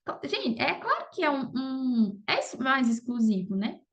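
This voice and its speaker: A Brazilian woman with a fairly high voice chats in a casual interview. She tells her story conversationally, checking in with frequent tag questions. The audio is slightly dull and lo-fi. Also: very animated, normal speed, a bit bright. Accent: Brazilian Portuguese. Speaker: podcast guest, affiliate marketer.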